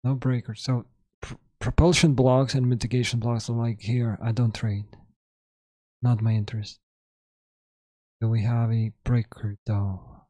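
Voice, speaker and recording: Hoarse man thinking aloud over charts. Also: mid-range voice, warm tone, no echo. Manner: thinking aloud, halting, self-correcting. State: hoarse, sore throat. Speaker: trading livestream host. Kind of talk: live market commentary.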